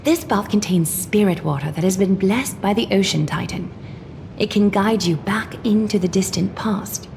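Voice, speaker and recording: A woman playing a gracious, composed noblewoman in a video game, speaking formally and with soft command. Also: medium pitch, creaky, a little hiss or hum, natural voice. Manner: formal, measured, softly commanding. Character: poised, courteous noblewoman leader, gracious and composed. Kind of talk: scripted game character dialogue. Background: game music.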